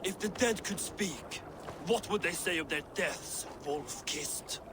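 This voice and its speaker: A man acting a grim, coldly menacing Viking jarl in a game cutscene, deliberate and formal. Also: sits high, lively intonation, noisy background. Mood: cold, accusing.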